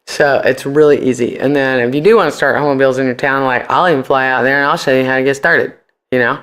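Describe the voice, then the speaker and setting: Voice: medium pitch, fairly even intonation, fast, a bit bright, smooth. A man being interviewed on a podcast, chatting casually and animatedly in loose slang with free swearing. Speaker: podcast guest.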